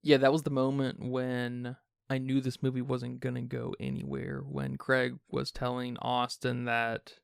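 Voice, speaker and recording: A man's voice: mid-range voice, completely flat delivery, measured pace, gravelly, clean tone, no room sound, studio quality. A man hosting a film review podcast in a casual, conversational way. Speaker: podcast host.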